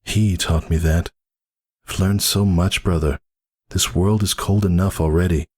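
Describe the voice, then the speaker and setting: A man's voice: low-pitched, steady tone, a little slow, darkish tone, slightly rough, voice pushed up. A man voicing a weary but defiant pegasus mother, speaking earnestly in a dramatic story reading. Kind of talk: dramatic fan fiction reading.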